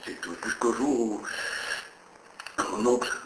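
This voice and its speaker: An older man from Brittany, recalling his past in a halting, rambling way, repeating words as he searches for them. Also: on the low side, echoey room, noisy background. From Brittany, France. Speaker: interviewee. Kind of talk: oral history interview.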